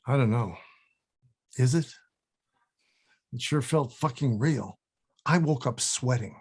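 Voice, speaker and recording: An actor playing a laid-back but troubled man on a film set, deadpan and incredulous. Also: medium pitch, slow pace, breathy edge.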